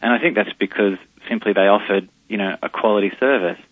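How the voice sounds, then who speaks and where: A deep-voiced Australian man answers questions down a muffled phone line, his voice husky and low. He talks in a hesitant way, full of "uh" and restarts. The line is dull, close and dry. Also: fast talker, dry recording. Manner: hesitant, many fillers and restarts. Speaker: company CEO, interview guest. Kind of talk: phone interview on radio. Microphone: telephone line.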